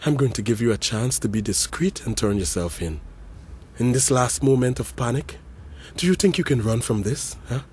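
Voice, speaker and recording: An actor playing a grieving brother who gives an intense, accusing eulogy, swinging from hushed menace to shouts. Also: male voice, medium pitch, animated, normal speed, a little hiss or hum, lightly acted. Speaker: eulogy speaker at a funeral. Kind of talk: dramatic audio story monologue.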